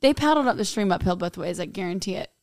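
A hoarse woman with a raspy voice, co-hosting a podcast in casual, joking chat. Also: mid-range voice, lively intonation, fast talker, no echo, studio quality. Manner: joking, drawling, breaks into laughter. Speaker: podcast co-host. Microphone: studio microphone.

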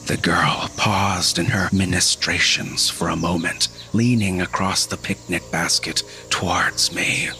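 A man playing a haunted husband who narrates a supernatural horror story in a grave, suspenseful, deliberate way. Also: low voice, steady tone, pushed and bright, a little hiss or hum, heavily acted. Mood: dread, helpless. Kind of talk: dramatic scripted narration. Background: music.

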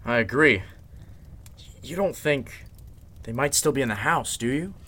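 A man playing a calm, commanding lawyer in an old-time radio mystery drama, speaking in a measured way. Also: medium pitch. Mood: grave, decisive. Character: calm, take-charge male lawyer carrying a gun.

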